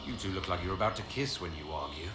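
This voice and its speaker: A man's voice: low voice, fast, noisy background, natural voice. An actor playing an earnest, skeptical male hero in a video game, direct and dryly wry. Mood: questioning, dry. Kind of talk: video game dialogue acting. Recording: echoey game audio under music.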